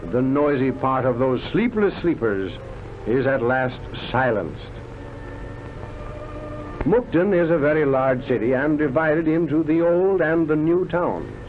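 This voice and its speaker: A man narrates an old travel documentary through a thin, phone-like vintage soundtrack, with loud music playing behind him. His voice sits a little high, and he delivers the script in a declamatory, florid 1930s newsreel manner. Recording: tinny band-limited vintage film soundtrack, loud music. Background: loud background music.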